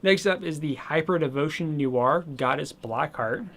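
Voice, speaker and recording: Casual, rambling male vlogger, a touch hoarse, voice pitched a bit high. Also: normal speed, fairly bright tone. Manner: chatty and rambling, with many fillers. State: slightly hoarse, losing his voice. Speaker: gaming channel host. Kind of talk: casual video game haul vlog.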